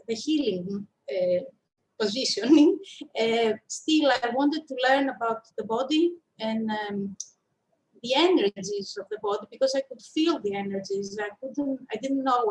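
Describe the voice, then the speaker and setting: Woman, fifties, Greek accent, higher pitch, hesitant thoughtful phrasing, interview guest. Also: a little mellow, rough recording. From Greece. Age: 50s. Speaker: interview guest, shiatsu practitioner and teacher. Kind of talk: personal storytelling in an interview.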